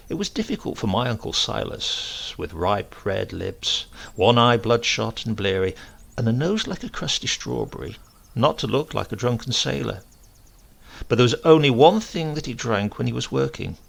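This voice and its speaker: Gravelly man narrating with lively pitch. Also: normal speed. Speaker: reader of a comic short story. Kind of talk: scripted narration, literary storytelling.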